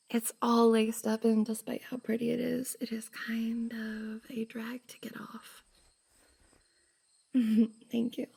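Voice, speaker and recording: A woman playing a shy, bubbly young cosplayer and affectionate best friend in an intimate roleplay ASMR, soft, hesitant and giggly. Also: a little high, heavily acted. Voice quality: soft, breathy, slightly husky. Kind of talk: F4M roleplay ASMR, intimate one-sided conversation.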